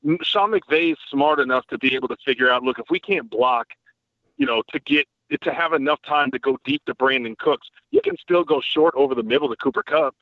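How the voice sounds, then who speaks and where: A man's voice: medium pitch, brisk, no echo. Brief: male podcast guest, profane and joking, phone-line sound, dry. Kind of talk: casual sports podcast chat. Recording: phone-like remote call line.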